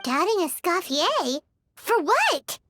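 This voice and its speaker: An actress voicing a tiny, childlike, inquisitive fairy-like companion in a video game, with an excitable cartoon delivery. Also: female voice, very high-pitched, slow, faint music behind, full character voice. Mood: puzzled.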